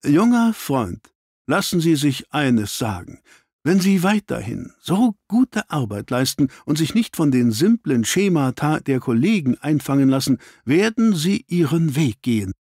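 A man reading an audiobook, voicing an analytical, ironic gentleman detective with precise, clipped statements. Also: a little high, sing-song, measured pace, breathy edge, dry recording, studio quality, voice pushed up, exaggerated voice. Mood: approving, lively.

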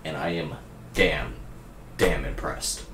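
Young guy, super deep and raspy, chill reaction host, swears a lot, roomy echo. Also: fairly even intonation, slow pace, fairly bright tone, a little hiss or hum. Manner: laid-back, swears freely, praises earnestly. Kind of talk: casual reaction commentary. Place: indoor room at a streaming desk.

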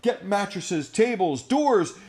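An alarmed town mayor from a storybook barks urgent commands, as a man plays him. His voice is pitched up and swings widely. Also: lively intonation, slow pace, a little mellow.

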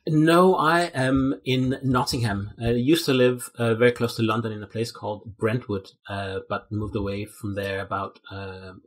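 A middle-aged man with a Danish accent, a guest on a video call, speaking in a measured, thoughtful way with some hesitations. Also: medium pitch, steady tone. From Denmark. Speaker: guest, procurement consultant. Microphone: headset on a video call.

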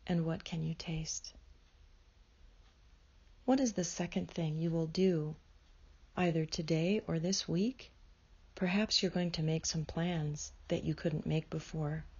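A woman leading a guided meditation in a slow, soothing way, often dropping into soft whispers. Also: low voice, fairly even intonation, husky, highs cut off.

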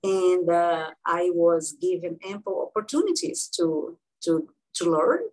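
A woman in her sixties from Brazil with a Brazilian Portuguese accent, telling her career story as an interview guest, with frequent pauses and fillers. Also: on the low side, animated, darkish tone, low-quality recording. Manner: halting, many fillers and restarts. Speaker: guest physician-researcher.

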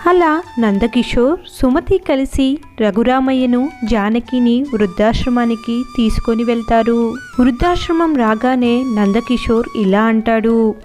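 A woman's voice: fairly high pitch, a little slow, a little mellow, soft background music. A woman storyteller narrating a scripted moral tale.

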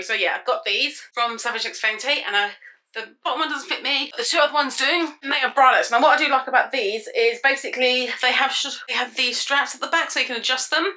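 Young woman chatting to her camera at home, highish sharp voice, blunt, says things twice. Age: young adult. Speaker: vlogger. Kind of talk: casual chat to camera. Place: at home indoors, living room and kitchen.

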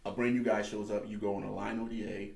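Male host, lowish gravelly voice, casual and blunt, echoey room. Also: flat delivery, quick pace, darkish tone. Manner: laid-back, short agreements, swears casually. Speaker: podcast host. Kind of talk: casual interview. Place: small studio set at a table. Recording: echoey studio room with faint noise.

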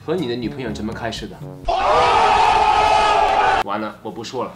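A young man in his twenties speaking with a hesitant, halting delivery in a casual debate game. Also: lowish voice, fairly even intonation, a loud crowd, rough recording. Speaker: guest. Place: bright studio room, indoors. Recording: loud music behind.

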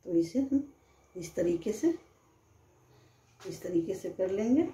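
A woman's voice: on the low side, expressive, slow pace, dark tone. A woman hosting a home cooking channel, explaining each step plainly in her kitchen. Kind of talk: home cooking demonstration voice-over.

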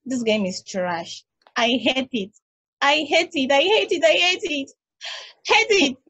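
A woman's voice: sits high, expressive, slow pace, a bit bright, exaggerated voice. A woman reading the part of a weary space probe who keeps the peace, tired and placating, at a video-call table read. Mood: exasperated. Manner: tired, placating, then exasperated.

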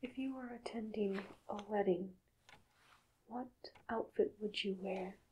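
Softly whispering woman in ASMR roleplay. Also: medium pitch, a little hiss or hum, rough recording. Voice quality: soft, mostly whispered. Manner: gentle, slow questioning with long pauses. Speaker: ASMR performer playing a doctor. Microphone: close microphone.

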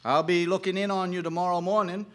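An actor playing a superstitious, fearful rural caretaker in a live radio drama, telling things in an ominous, theatrical way. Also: male voice, high voice, little pitch movement, fast talker, a bit bright, slight background noise. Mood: cautionary. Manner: ominous, theatrical, warning storyteller. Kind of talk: old-time radio drama acting.

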